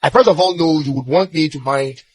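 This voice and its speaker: Husky, blunt Ghanaian man. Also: on the high side, brisk, dry recording, rough recording. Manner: blunt, confrontational. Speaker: social media commentator. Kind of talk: opinion commentary to camera.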